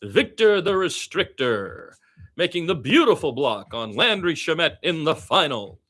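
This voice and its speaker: Forceful, bright-voiced male basketball play-by-play announcer. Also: a little slow. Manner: blunt, opinionated, repeats names and phrases for emphasis; animated, swinging from low asides to loud high calls. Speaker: radio play-by-play announcer for the home team. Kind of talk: excited partisan basketball play-by-play commentary.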